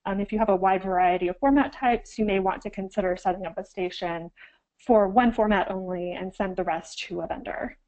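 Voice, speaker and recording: A woman's voice: medium pitch, fairly quick, a little mellow, low-quality recording. A woman archivist getting over a cold, slightly froggy, giving an instructional webinar with frequent ums and self-corrections.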